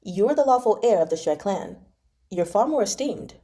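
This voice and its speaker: Woman reading a suave, flattering nobleman. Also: mid-range voice, normal speed, close to an everyday voice. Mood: kindly coaxing. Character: suave, flattering male noble feigning sympathy.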